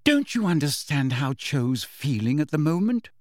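A man's voice: a little high, very animated, normal speed, a little mellow, dry recording. Audiobook role: exasperated, businesslike clever teen girl, performed by a male narrator, dry close sound. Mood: exasperated, lecturing. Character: brisk, bossy, know-it-all teenage girl.

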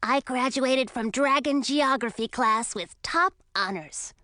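A woman voicing an adolescent male dragon, eager and childlike, pleading in a high voice. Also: a little slow, bright, forceful tone, no room sound, clean studio sound.

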